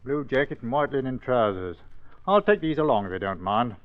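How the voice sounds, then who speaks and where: An actor playing a courteous but firm and suspicious police inspector in a 1940s radio drama, probing and formal. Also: male voice, mid-range voice, expressive, a little fast, close to an everyday voice. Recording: dull old radio recording.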